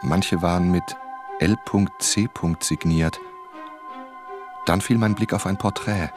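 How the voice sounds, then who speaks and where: An inquisitive male novelist turned sleuth in a radio drama. He sounds earnest and probing, in a low voice with faint music behind him. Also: slow. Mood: tense narration. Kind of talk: radio drama narration and dialogue.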